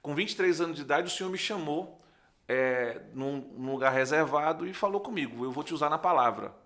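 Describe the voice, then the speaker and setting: Bright-voiced northeastern Brazilian man telling his testimony. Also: a little high, brisk. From Recife, northeastern Brazil. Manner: animated storyteller, acts out dialogue, often checks with "né". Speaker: pastor, podcast guest. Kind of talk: conversational personal testimony.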